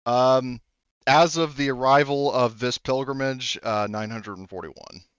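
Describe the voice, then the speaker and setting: A man working as game master, narrating a tabletop game off the cuff in a halting, rambling, self-correcting way. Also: medium pitch, fairly bright tone, clean tone, no echo, highs cut off.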